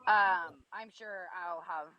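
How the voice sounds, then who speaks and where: A woman in her thirties with a raspy voice, asking probing, somewhat hesitant questions in a live video chat. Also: lowish voice, slow, muffled recording. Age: 30s.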